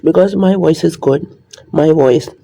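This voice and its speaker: Man, casual vlogger monologue, earnest and rambling, slightly high with a gravelly edge. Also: measured pace, mellow, poor audio.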